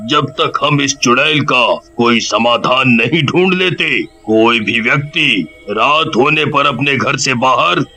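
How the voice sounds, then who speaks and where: A man's voice: medium pitch, slow, bright, forceful tone. A man voicing an authoritative cartoon village headman in a firm, declamatory manner. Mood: grave warning.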